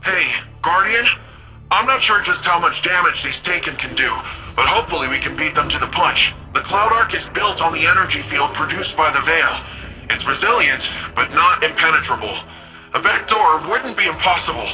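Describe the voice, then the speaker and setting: A man's voice: on the high side, expressive, moderate pace, pushed and bright, faint music behind, poor audio. A man playing a friendly, wry, tech-savvy ally in a sci-fi video game, chatty and quippy. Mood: concerned. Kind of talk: video game character dialogue.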